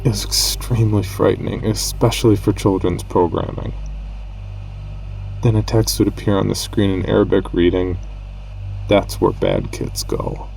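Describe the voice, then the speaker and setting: A man narrating a scripted horror story in a grave, measured manner with dramatic pauses. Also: lowish voice, little pitch movement, gravelly, darkish tone, slight background noise, poor audio.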